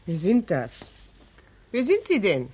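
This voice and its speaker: A woman's voice: medium pitch, wildly swooping pitch, takes it slowly, a little mellow, husky, heavily acted. An actress playing a callous female tenant, curt, mocking and matter-of-fact, in 1940s radio drama style. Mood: brusque, dismissive.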